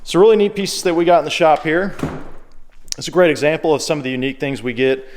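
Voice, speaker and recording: A man's voice: a little high, quick pace, a little mellow, slight background noise. A man presenting an item for a secondhand shop in a casual, conversational and explanatory way. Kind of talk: casual product demonstration.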